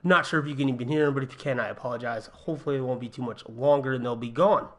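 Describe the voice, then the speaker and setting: A young man with a slightly raspy voice from a cold, chatting casually through a gaming livestream from his apartment, thinking aloud with ums and uhs. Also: medium pitch, very fast. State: getting over a cold, a little raspy. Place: home apartment.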